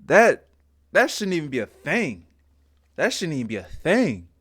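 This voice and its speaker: A man's voice: fairly high pitch, sing-song, a little slow, fairly bright tone, breathy edge. A man hosting a reaction video, commenting casually in colloquial speech with an incredulous manner, repeating himself for emphasis.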